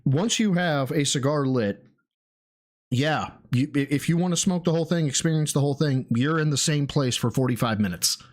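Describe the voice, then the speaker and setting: A man's voice: fairly high pitch, normal speed. A man streaming a reaction video, talking casually and swearing freely. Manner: profane, blunt, rambling. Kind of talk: casual livestream commentary.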